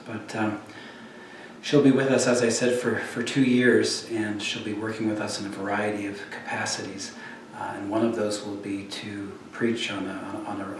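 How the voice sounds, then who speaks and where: A male priest makes a short announcement to his congregation, hesitating and filling pauses as he goes. His voice is low and very flat, and it rings from a distance in a strongly echoing room. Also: slight background noise. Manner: hesitant, with many fillers. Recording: echoey room, voice from off-mic.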